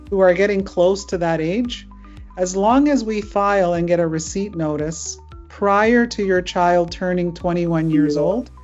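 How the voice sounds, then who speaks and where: Middle-aged woman host, low voice, chatty and informal, muffled video-call sound. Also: darkish tone. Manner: chatty, with frequent fillers and laughs. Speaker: immigration lawyer hosting a webinar. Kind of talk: casual webinar talk.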